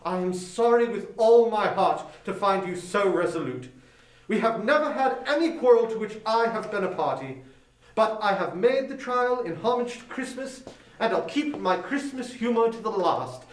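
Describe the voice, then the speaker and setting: A man playing a warm, cheerful, earnest nephew pleading in a dramatic audiobook, recorded at a distance in a roomy space. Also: sits high, lively intonation, normal speed. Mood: pleading, heartfelt. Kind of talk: dramatic audiobook reading of a Victorian story.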